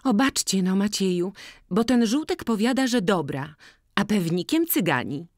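A reader voicing a lively, bold young village girl in a slowly read audiobook. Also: medium pitch, dry recording, clean studio sound, voice pushed up, lightly acted. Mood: chirpy. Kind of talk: audiobook character voice.

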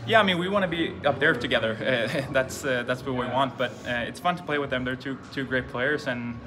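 A young man, a hockey player, answering postgame press questions in a relaxed, halting way, repeating words and restarting often. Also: mid-range voice, background noise. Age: young adult. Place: indoor press room before a sponsor backdrop. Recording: loud music playing behind. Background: loud music.

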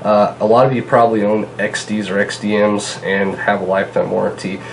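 A man in his thirties with a fairly low voice talks casually to his viewers from a home kitchen, his nose stuffed up from a cold. He rambles in a relaxed way, full of "uh" and "you know", recorded by a camera across the table with a faint hum of room ambience behind him. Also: brisk, vocal fry, poor audio. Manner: relaxed, chatty, many fillers. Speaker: gun channel host. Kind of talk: casual product vlog.

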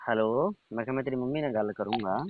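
A man's voice: medium pitch, fast talker, a little hiss or hum. A middle-aged man, having a casual private chat over the telephone. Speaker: uncle on a phone call. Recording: tinny, band-limited phone call recording.

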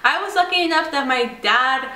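A young woman vlogging at home, talking straight to the camera in an animated, chatty way. Her voice sits a little high and comes out bright and sharp, with the room echoing around her. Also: moderate pace. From San Diego, United States. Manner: animated and chatty, laughing often. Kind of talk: casual talk to camera. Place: indoor home room by a staircase.

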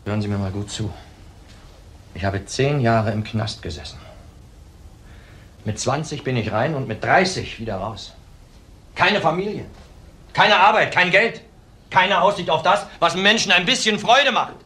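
An actor playing a cold-blooded ex-convict widower in a crime drama, defiant, blunt and self-assured. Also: male voice, a little high, animated, normal speed, long pauses, sharp tone. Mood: defiant, bitter. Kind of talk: German TV crime drama acting. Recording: faint background noise.

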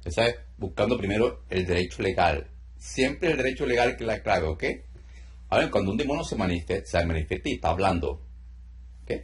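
A middle-aged man speaks with calm authority over a video call. He gives steady commands and pauses to explain each step of the session. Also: on the low side. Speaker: deliverance minister. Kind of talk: ministry session with teaching asides. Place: indoor room.

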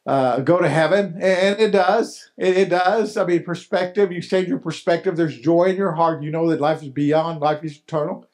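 An older male pastor delivers a sermon in a small chapel hall, picked up from a distance. His voice is high for a man and his pace is unhurried. He repeats phrases for weight and builds to pointed questions. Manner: repeats key phrases, rises to emphatic questions.

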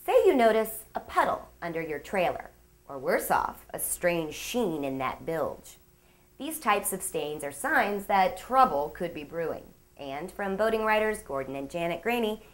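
Animated woman hosting a boating show. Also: low-pitched. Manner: upbeat, with playful asides. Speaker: show host. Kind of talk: scripted magazine-show narration.

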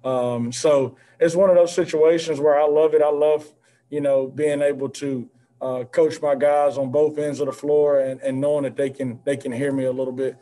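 A man in his thirties, a head basketball coach, answering postgame questions on a video call in an earnest, measured way with many fillers. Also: on the high side, level tone, dark tone. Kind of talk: postgame press conference answers. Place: indoor press backdrop room. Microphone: video call, seated at desk with mask.

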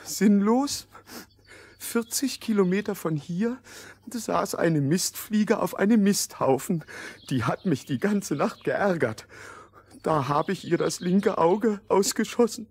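An eccentric, bored fairy-tale marksman. His voice is husky and slow, with a theatrical, repetitive way of talking. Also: high-pitched, animated, darkish tone, full character voice. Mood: boastful. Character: eccentric, bored male former royal hunter and sharpshooter. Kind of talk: fairy-tale audio play acting.

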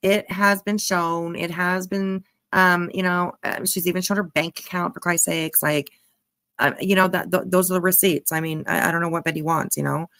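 A woman's voice: fairly low pitch, steady tone, normal speed, fairly bright tone, dry recording. A woman giving casual, snarky commentary on a clip, mocking and swearing freely. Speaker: reaction channel commentator.

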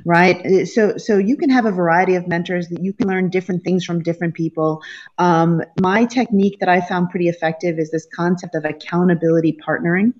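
Woman, 40s, executive guest, lively and blunt, dull call audio. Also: on the low side, fairly quick. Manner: frank, animated, self-mocking. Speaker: guest, company chief. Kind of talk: video call panel talk. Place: home room with wooden shutters. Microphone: laptop webcam on video call.

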